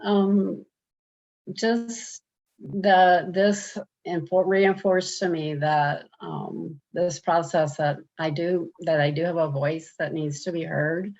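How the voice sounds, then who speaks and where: A woman taking part in a program, answering interview questions over a video call in an earnest, halting way with many restarts. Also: fairly low pitch, fairly even intonation, a little mellow, dull audio. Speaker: program participant.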